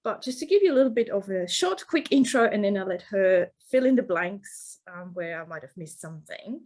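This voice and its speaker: A woman's voice: mid-range voice, animated, fairly quick, darkish tone. A woman hosting a podcast, introducing her guest in an enthusiastic way with many ums. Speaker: podcast host. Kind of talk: casual interview chat.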